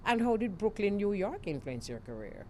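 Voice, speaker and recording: A woman with a Jamaican accent hosting a radio talk show, emphatic and quick to laugh. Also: medium pitch, slight background noise. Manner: lively, laughs often, emphatic. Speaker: radio host.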